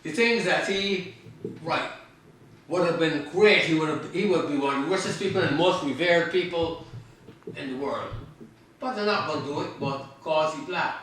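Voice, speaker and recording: Older man, from Barbados, rambling and emphatic, bright tense voice, heavy room echo. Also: on the high side, moderate pace, slight background noise. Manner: emphatic, repeats himself, trails off mid-thought. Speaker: guest, author. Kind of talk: rambling conversational storytelling. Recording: very echoey room.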